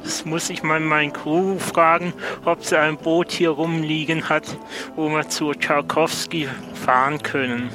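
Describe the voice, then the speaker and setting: A man speaking in a plain, earnest, deliberate way as a guest player in an improvised audio adventure. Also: on the high side, level tone, music in the background.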